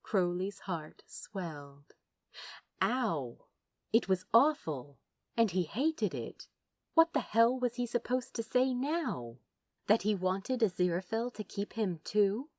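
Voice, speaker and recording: A woman reading fiction aloud as a narrator, dramatic and wry, with deliberate phrasing. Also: medium pitch, big pitch swings, darkish tone, no echo. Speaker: audiobook-style narrator. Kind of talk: expressive scripted fiction narration.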